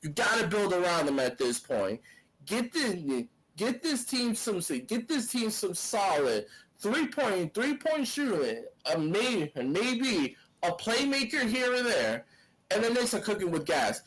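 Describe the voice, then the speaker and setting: Animated high-voiced man talking basketball. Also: unhurried, slightly rough, rough recording. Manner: animated, restarts phrases often. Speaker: sports podcast co-host. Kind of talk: casual sports debate.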